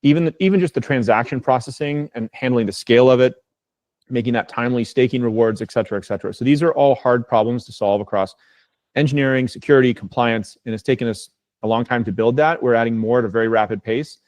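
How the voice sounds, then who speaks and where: A man's voice: mid-range voice, quick pace, darkish tone, dry recording. A man speaking as a company executive on an earnings call, making his case point by point in an assured, emphatic and conversational way.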